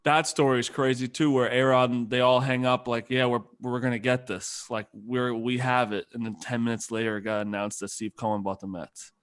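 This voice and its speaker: A man co-hosting a sports podcast, telling stories in casual, animated banter and swearing freely. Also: medium pitch, moderate pace, clean tone. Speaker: podcast co-host.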